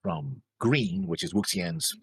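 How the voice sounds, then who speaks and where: Low, husky man with a swinging pitch. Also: lively intonation, slow, dry recording, clean studio sound. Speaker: reaction show co-host. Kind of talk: casual chat about an anime episode.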